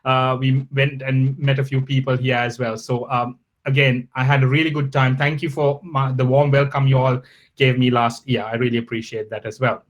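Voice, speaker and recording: A man from Sri Lanka, giving a polite, measured guest lecture over a video call. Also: medium pitch, level tone, lo-fi sound. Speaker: guest professor. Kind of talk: online lecture.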